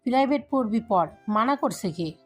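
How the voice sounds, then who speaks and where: A woman's voice: fairly high pitch, lively intonation, moderate pace, breathy edge, faint music behind. A woman playing an agreeable middle-aged mother in an audio story. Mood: obliging.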